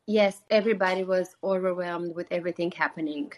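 A woman's voice: on the low side, fairly even intonation, a little fast. A young woman from Romania, speaking with a Romanian accent, who chats earnestly and uses "like" a lot as the guest on a call-in interview. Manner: chatty and earnest, with frequent "like" fillers. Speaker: singer-songwriter guest.

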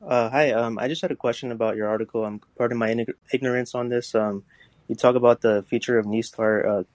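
A man's voice: mid-range voice, fairly even intonation, a little fast, a little mellow, no room sound. A man calling in with a question, polite and tentative, filling gaps with frequent ums. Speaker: caller. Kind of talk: call-in question.

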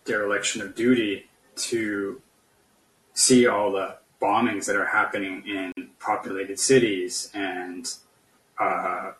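A man talking as a podcast guest, discussing politics in a halting, hesitant way, searching for words. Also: lowish voice, level tone, fairly bright tone. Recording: roomy, echoey, distant from the mic.

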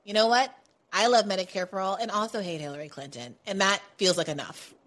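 A woman hosting a political talk podcast, animated and sarcastic, building into quick rants. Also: medium pitch. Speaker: podcast host.

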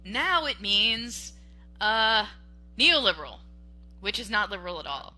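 Bright-voiced woman arguing emphatically. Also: mid-range voice, a little slow, soft background music. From Western Washington. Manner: animated, emphatic, with frequent restarts and "okay?" tags. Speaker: podcast co-host. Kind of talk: casual political debate.

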